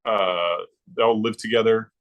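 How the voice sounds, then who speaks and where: A middle-aged man reminiscing casually, thinking aloud in a halting, informal way. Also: lowish voice, fairly even intonation, dull audio. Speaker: guest, former comics production worker turned librarian.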